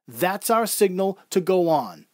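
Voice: mid-range voice, big pitch swings, measured pace, no room sound, clean studio sound. A performer playing a wistful, proud circus clown in a reflective first-person audio drama monologue. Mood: quietly proud. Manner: reflective, descriptive storytelling.

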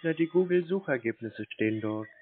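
A man with a husky voice answering curtly as a customer in a phone conversation. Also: on the high side, lively intonation, fairly quick, dry recording. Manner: curt, minimal answers. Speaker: called customer. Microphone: telephone. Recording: muffled narrow phone line.

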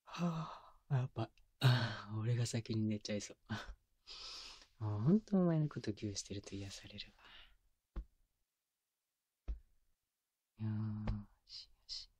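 A man voicing a doting young boyfriend in an intimate ASMR roleplay, murmuring softly and breathily with teasing, coaxing baby talk close to a binaural microphone. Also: on the low side, expressive, measured pace, pauses often, breathy edge, no echo. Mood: sleepy, soothing. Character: doting young boyfriend soothing his sleepy partner. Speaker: voice actor. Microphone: close binaural microphone, dry.

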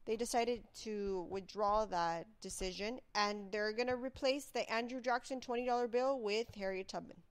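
A woman co-hosting a comedic radio talk show, teasing and quick with her comebacks. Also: mid-range voice, smooth. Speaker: radio co-host. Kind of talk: casual comedic radio banter.

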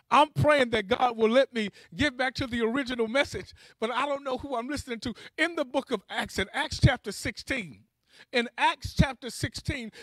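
A man's voice: extremely high pitch, fairly bright tone, no echo, rough recording. A man preaching a charismatic sermon in a fervent, emphatic way, exhorting his listeners and repeating key phrases.

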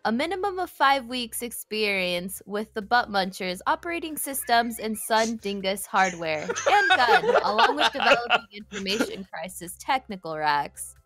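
A woman's voice: fairly high pitch, big pitch swings, moderate pace, few pauses, a bit bright, faint music behind. A woman chatting casually and reading silly text aloud during an online group game. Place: online voice call.

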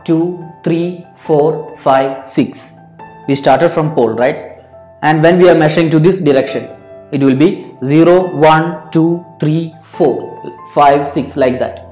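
A young man teaching physics to camera, explaining step by step and counting values out loud in a patient, repetitive way. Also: fairly high pitch, echoey room. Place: indoor studio in front of a backdrop. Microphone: clip-on lapel mic.

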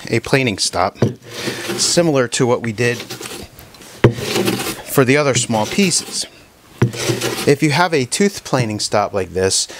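A man teaching hand-tool woodworking in his shop, talking casually with frequent fillers and restarts while he demonstrates. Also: medium pitch. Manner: relaxed, halting, many fillers and restarts.

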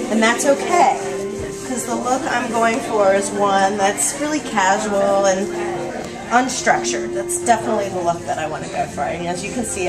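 A woman in her thirties, a hair stylist, casually explaining a styling tutorial step by step in a salon. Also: mid-range voice. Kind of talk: how-to tutorial. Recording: salon video with loud music behind. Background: loud background music.

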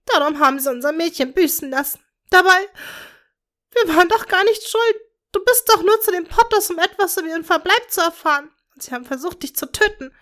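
A woman voicing a grieving dark witch mother, wailing and sobbing in a high voice. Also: lively intonation, brisk. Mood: anguished. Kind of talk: fan fiction reading.

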